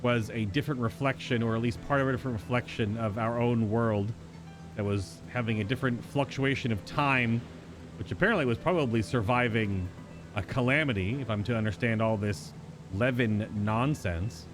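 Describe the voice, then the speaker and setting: A man's voice: medium pitch, moderate pace. A man giving wry, rambling live reaction commentary as he plays a game. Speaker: game streamer. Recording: music behind the voice. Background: game music.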